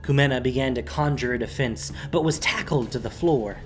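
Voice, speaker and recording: A man narrating a scripted fantasy audio drama in a deliberate, dramatic way with weighty pauses. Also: mid-range voice. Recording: faint music behind.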